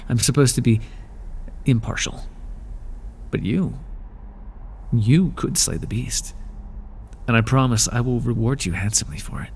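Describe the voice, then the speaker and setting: A man's voice: medium pitch, gravelly, darkish tone, slight background noise. A man playing an aloof, lonely god of the underworld in an ASMR roleplay, talking in a dry, languid and sardonic way.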